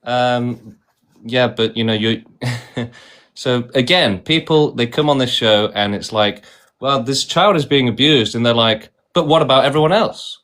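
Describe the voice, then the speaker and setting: A man's voice: medium pitch, measured pace, fairly bright tone. A man hosting a debate stream, arguing insistently and often tagging his points with "right?". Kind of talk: argumentative debate.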